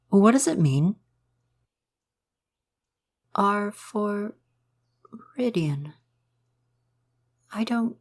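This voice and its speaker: A man voicing a gentle, shy, curious forest spirit guardian in an intimate ASMR roleplay, speaking in careful, formal, hesitant phrases. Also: sits high, exaggerated voice. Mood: awed, then overwhelmed, tender.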